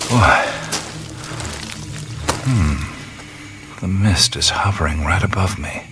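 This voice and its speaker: An actor playing a laid-back, skeptical adventurer hero, talking in a dry, wry way. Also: male voice, low voice, lively intonation, slow. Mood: hushed. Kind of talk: radio play acting with inner monologue. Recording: studio radio play with music score.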